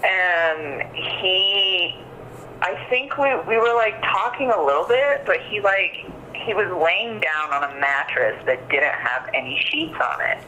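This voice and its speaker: A woman's voice: on the low side, lively intonation, slow, sharp tone, muffled recording. A woman giving an informal interview over the telephone, hesitant and rambling, peppering her account with "like". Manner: hesitant, rambling, many likes. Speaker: interviewee recounting events to an investigator. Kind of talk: informal phone interview, recounting. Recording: noisy telephone interview recording.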